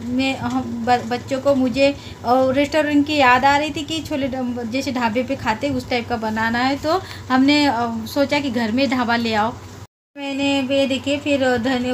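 Chatty high-voiced woman vlogging at home. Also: a little hiss or hum. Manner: chatty, homely, hesitant with many fillers and restarts. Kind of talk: casual vlog narration. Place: home and courtyard, indoors and outdoors.

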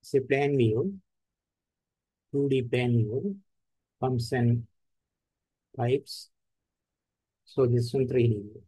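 A man with an Indian English accent giving terse, step-by-step instructions in a screen-recorded software tutorial. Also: medium pitch, slow, long pauses, breathy edge, no echo, rough recording.

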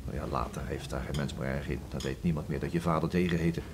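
A man's voice: rumbling low, steady tone, quick pace. An actor playing an evasive middle-aged father who coughs and hesitates in a radio drama. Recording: noisy old radio play recording.

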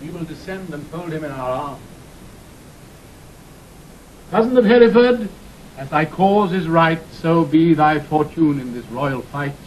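A man's voice: on the high side, animated, moderate pace, natural voice. A man as a restrained, regal king. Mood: cool, gracious. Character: regal, measured king addressing his kinsman. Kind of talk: classical Shakespearean audio drama acting. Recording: slightly noisy 1960 audio drama recording.

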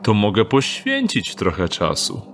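A wry, unhurried thief who talks slowly and dryly in a lowish voice. He mocks his companions with calm confidence. A faint ambience sits behind him. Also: gravelly, a little hiss or hum, exaggerated voice. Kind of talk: audio drama acting.

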